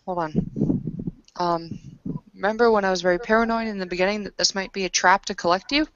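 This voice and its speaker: A woman's voice: medium pitch, steady tone, brisk, highs cut off. A woman playing a tabletop roleplaying game, talking casually in character with a wry, questioning manner.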